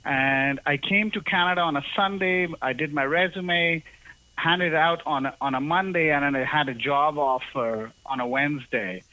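A man's voice: fairly high pitch, normal speed, bright, forceful tone, dry recording, low-quality recording. A man raised in Zambia, a university professor, talking through his story as an interview guest, with many fillers and restarts. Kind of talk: conversational interview.

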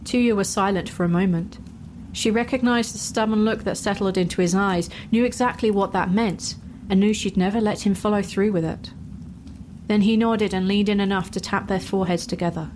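A woman's voice: medium pitch, steady tone, moderate pace, darkish tone, a little hiss or hum, poor audio. Woman narrating a fantasy story.

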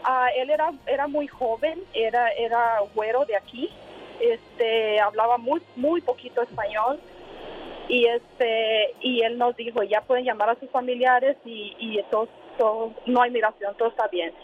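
A woman's voice: fairly high pitch, steady tone, unhurried. A woman caller telling a personal story hesitantly, full of fillers and quoted dialogue, over a phone call from a car. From Honduras. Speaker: radio call-in listener. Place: in a car while driving. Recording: tinny noisy phone call.

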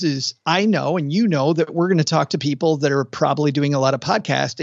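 Gravelly-voiced man co-hosting a podcast. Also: on the high side, dry recording. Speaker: podcast co-host. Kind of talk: casual conversational chat. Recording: dull, lo-fi dry sound.

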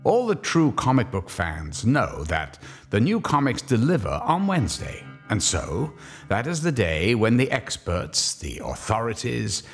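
A man playing the theatrical, deliberate, ominous narrator of a scripted radio horror story. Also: fairly low pitch, huge pitch swings, soft background music, exaggerated voice. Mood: wry storytelling.

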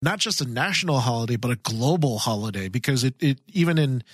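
A man with a medium-pitched voice chats as a podcast co-host. He sounds earnest and agreeable, in a dry recording with very little room sound. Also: animated, fast, breathy edge, dry recording. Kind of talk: casual fan podcast chat.

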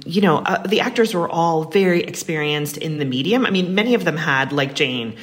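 A woman's voice: sits low, lively intonation, brisk. A woman writer talking as an interview guest, discursive and enthusiastic in casual conversation.

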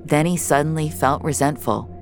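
A woman's voice: deep voice, moderate pace, soft background music. A woman narrator reading scripted fiction in a dramatic storytelling style.

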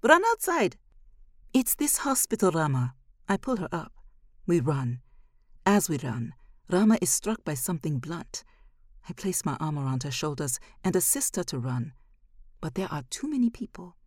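A woman narrating scripted material as a podcast host, with a measured, expressive delivery. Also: low-pitched, no echo, clean studio sound.

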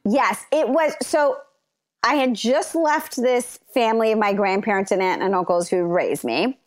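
A middle-aged woman with an American accent answers interview questions at length. Her talk is animated, climbing high in pitch when she stresses a point and sliding low at the end of a thought. She sounds conversational and open. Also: unhurried. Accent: General American. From London, then Los Angeles from age nine. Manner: animated, rising to high emphasis, laughs while talking. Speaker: interview guest.

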